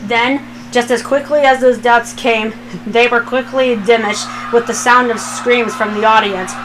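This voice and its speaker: A woman narrates a written story in a fairly high voice. She reads the scripted prose aloud as storyteller, with music clearly playing underneath. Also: fairly even intonation, normal speed, poor audio. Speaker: fan fiction reader, narrator.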